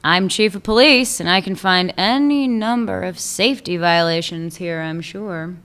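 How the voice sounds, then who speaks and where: A woman's voice: lowish voice, moderate pace, a bit bright, smooth. A woman playing a sly woman police chief who teases an old lover, in a radio play. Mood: persuasive. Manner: teasing, needling, wry. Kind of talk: amateur radio drama acting.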